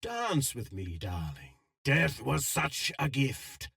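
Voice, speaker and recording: Scheming game villain, pompous and florid, wildly animated pitch, slow measured pace, theatrical. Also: male voice, vocal fry, no echo, clean studio sound, full character voice. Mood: sly, menacing. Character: eloquent, scheming male syndicate villain with a theatrical flair. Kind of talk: video game villain voice lines.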